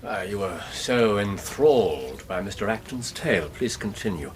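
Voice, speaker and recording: An actor playing a brilliant, aloof English detective in a radio drama, precise and dry, with a wry theatrical manner. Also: male voice, on the low side, lively intonation, normal speed, lightly acted. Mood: coolly probing.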